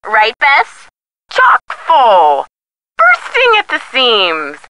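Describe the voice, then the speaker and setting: A woman's voice: fairly high pitch, wildly swooping pitch, drawn-out words, pushed and bright, no echo, heavily acted. An actress playing a detective's chatty, witty young female friend in a video game, with a playful, teasing manner. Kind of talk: scripted video game dialogue.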